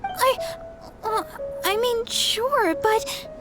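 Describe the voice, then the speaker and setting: An earnest, easily flustered young church sister from a fantasy game. Her girlish voice is extremely high, with a hesitant, pleading delivery. Music is clearly heard behind her. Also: animated, extremely slow, heavily acted. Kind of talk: video game voice acting.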